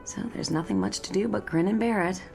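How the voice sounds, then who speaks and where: An actress playing a friendly, curious and lonely American train commuter in a radio drama, wistful and tentative. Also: female voice, mid-range voice, a little fast, creaky, a little mellow, faint music behind. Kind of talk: modern radio drama acting.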